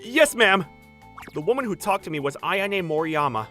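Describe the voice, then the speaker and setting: A man acts out a regular office worker in his twenties, telling his story in a manga dub. He is polite and deferential, with very mobile pitch. Quiet music sits behind him. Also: very animated, normal speed, heavily acted. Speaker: voice actor, lead and narrator. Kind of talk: dramatized manga dub narration.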